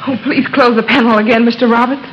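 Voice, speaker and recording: An actress playing a gentle, easily frightened young daughter in a 1930s radio drama. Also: female voice, on the high side, steady tone, quick pace, natural voice. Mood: uneasy. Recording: dull, noisy old radio recording.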